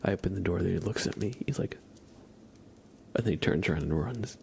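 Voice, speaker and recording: A man hosting an ASMR livestream, chatting casually in a breathy whisper. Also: low-pitched, a little fast, darkish tone, slight background noise. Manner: casual chat, greeting viewers by name. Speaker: livestream host.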